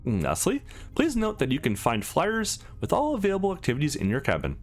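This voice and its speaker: A man's voice: fairly high pitch, wildly swooping pitch, a little fast, pitched above the performer's own voice. A man voicing a courteous space station guide in a visual novel, in polite, upbeat patter over music. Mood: helpful, upbeat.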